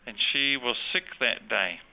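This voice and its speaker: A man's voice: mid-range voice, measured pace, gravelly, dull audio. A man with a New Zealand accent, a guest telling a story of his faith in earnest, conversational testimony, with frequent restarts. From born in Australia, grew up in New Zealand. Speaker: interview guest.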